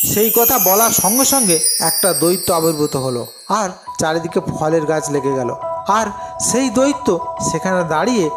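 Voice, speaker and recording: High-voiced male storyteller with music behind. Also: very animated, normal speed. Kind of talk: scripted Bengali folktale narration.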